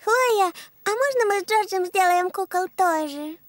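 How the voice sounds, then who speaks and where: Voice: very high-pitched, expressive, moderate pace. A performer voicing an eager, childlike little pig girl in a children's cartoon dub.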